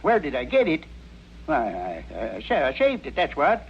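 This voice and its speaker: A man playing a desperate, poor farmer turned robber in a radio western, with a folksy rural American accent and halting bluster. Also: a little high, huge pitch swings. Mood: cornered, defiant. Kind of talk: 1950s-60s radio western acting. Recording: muffled old radio recording, hum, noise.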